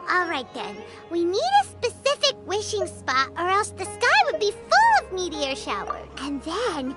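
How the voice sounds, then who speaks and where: Game sidekick: tiny childlike fairy, extremely high squeaky voice, very animated swoops, music underneath. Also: female voice, measured pace, full character voice. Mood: scheming, thoughtful. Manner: talks about herself in third person, exclamatory. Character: tiny excitable childlike fairy girl companion. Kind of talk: animated video game voice acting.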